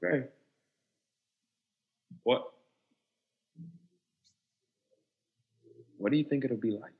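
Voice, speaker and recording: An actor playing a guarded, exasperated younger brother in an audio drama, speaking defensively and earnestly. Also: male voice, medium pitch, normal speed, echoey room, phone-quality sound, lightly acted. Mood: hesitant, earnest. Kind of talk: stage-style audio drama dialogue.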